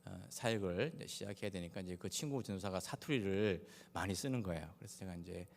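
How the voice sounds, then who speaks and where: A male pastor preaches in a reverberant church hall, his voice on the low side. He has a Gyeongsang Korean accent and speaks in measured, halting phrases, pausing often. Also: creaky. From Daegu, South Korea. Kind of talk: sermon with personal anecdotes. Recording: echoey hall with long reverb.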